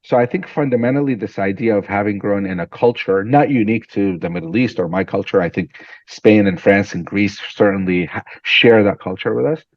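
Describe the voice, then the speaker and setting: Low-voiced syrian-born guy telling stories in an interview, kinda dull dry recording. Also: fairly quick, dry recording. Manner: animated storytelling, repeats words when starting sentences. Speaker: guest entrepreneur. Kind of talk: conversational interview.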